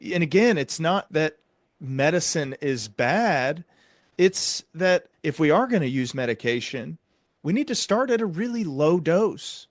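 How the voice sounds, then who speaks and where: A man's voice: a little high, lively intonation, unhurried, no echo. Man explaining in a dry, close sound. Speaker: podcast host; psychiatric doctor. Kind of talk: conversational explaining.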